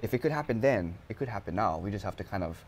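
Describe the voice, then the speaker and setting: A man's voice: on the low side, animated, fast talker, a little hiss or hum. A man, a talk show host speaking casually and expressively and mixing in words. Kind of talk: conversational interview. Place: television studio. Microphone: lapel mic.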